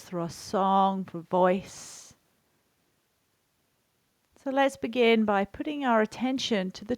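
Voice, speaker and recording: A woman with a mid-pitched voice leads a guided body scan as a yoga and meditation teacher. Her delivery is gentle and soothing, unhurried and spaced with pauses. The sound is dry, with very little room around it. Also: no echo.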